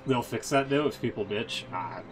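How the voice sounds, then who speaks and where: A man's voice: medium pitch, moderate pace, over music, rough recording. A man hosting a game stream with casual, opinionated, rambling commentary and some swearing.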